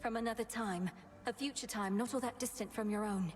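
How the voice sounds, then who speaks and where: A woman's voice: a little high, brisk, close to an everyday voice. A woman voicing an earnest, determined young witch princess in a video game, speaking in a solemn, formal manner. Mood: urgent, pleading.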